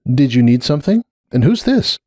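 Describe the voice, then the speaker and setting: A man's voice: medium pitch, sing-song, normal speed, mellow, no echo, studio quality, pitched above the performer's own voice. Man voicing a concerned, firm female unicorn pony. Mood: puzzled.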